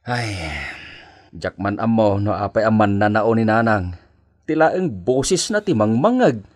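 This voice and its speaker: An actor playing a placating working husband in a radio comedy drama, speaking in a conversational way. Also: male voice, lowish voice, lively intonation, a little slow, no echo, clean studio sound. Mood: conciliatory.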